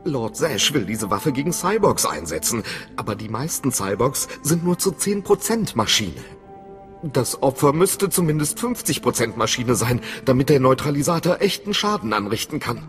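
An actor playing a nervous, boastful middle-aged weapons inventor in a video game, hesitant and eager to please. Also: male voice, medium pitch, very animated, normal speed, natural voice. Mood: explaining, cautious. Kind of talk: video game voice acting in German. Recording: music behind the voice.

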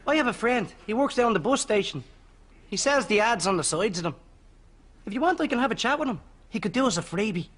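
An actor playing a dim, crude Irish bachelor in a sitcom, cheerfully blunt and unfazed. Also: male voice, high voice, animated, fairly quick, fairly bright tone. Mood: eager. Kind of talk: sitcom acting. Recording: noisy studio sitcom audio.

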